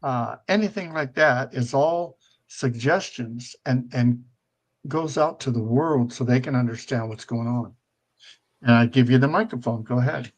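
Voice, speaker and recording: A man's voice: mid-range voice, a little slow. Rambling man in his seventies hosting. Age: 70s. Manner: rambling, many fillers and restarts. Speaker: talk show host. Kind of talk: casual live-stream chat.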